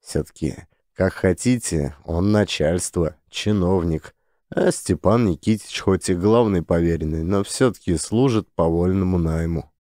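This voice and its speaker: A male narrator reads an audiobook in a measured storytelling style, close to a studio mic. His voice is low and mellow. The recording is dry, with no room sound. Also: no echo. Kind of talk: scripted narration.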